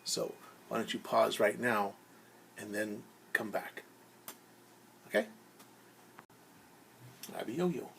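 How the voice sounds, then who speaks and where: A man telling a story as a teacher to young children, theatrical, pausing to explain words simply and acting out sounds. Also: fairly low pitch, animated, gravelly, a bit bright, slight background noise.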